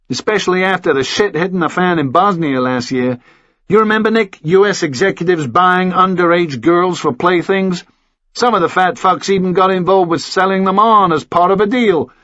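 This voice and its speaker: A man's voice: high voice, moderate pace, sharp tone, no room sound, dull audio, pitched above the performer's own voice. A man voicing a hot-headed, outspoken male journalist in an audiobook, blunt and provocative. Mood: indignant, defiant.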